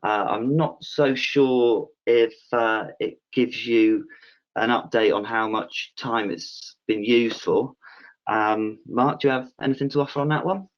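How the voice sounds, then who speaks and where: A man hosting an online Q&A with a panel, reading out viewers' questions in a relaxed way with lots of "um"s. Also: medium pitch, unhurried. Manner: relaxed, filler-heavy, reads out questions. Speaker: webinar host. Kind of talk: casual Q&A moderating. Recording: dull lo-fi call audio.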